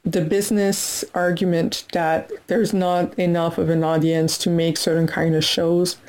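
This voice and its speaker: A native French-speaking woman with a French Canadian accent, discussing things in a measured, thoughtful way. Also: lowish voice, little pitch movement, a little mellow.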